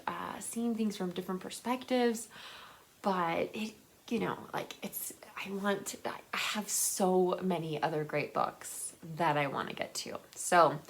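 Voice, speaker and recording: A woman chatting casually and enthusiastically to viewers in a rambling, conversational book vlog. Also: on the low side. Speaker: book vlogger.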